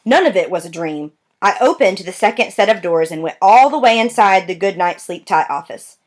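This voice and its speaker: A woman reading a children's novel aloud with expressive storytelling. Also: mid-range voice, moderate pace. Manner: expressive storytelling with lively voice changes. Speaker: reader.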